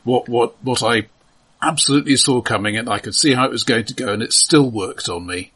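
A man's voice: mid-range voice, brisk, pushed and bright, slightly rough. A man co-hosting a casual film discussion, hesitant, often stammering as he starts a thought. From Europe. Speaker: podcast co-host.

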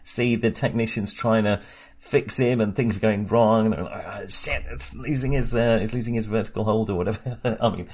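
A man with a somewhat low, husky voice talks through a film in a relaxed, rambling way. He hesitates a lot, restarts his sentences and breaks into laughter. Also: normal speed, few pauses. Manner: chatty, hesitant, many fillers and restarts, laughs often. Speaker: podcast co-host. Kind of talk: casual film discussion.

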